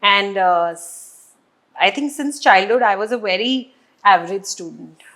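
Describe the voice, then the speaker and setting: A woman in her thirties with an Indian accent, a brand founder answering interview questions, mixing English and Hindi and pausing often on fillers. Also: medium pitch, animated, sharp tone. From Kuwait. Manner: hesitant with many fillers, laughs often. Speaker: guest, hair care brand founder.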